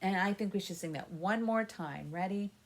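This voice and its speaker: A woman's voice: mid-range voice, moderate pace. A woman hosting a children's story time, explaining things in simple, encouraging words addressed to young kids. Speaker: library story time host.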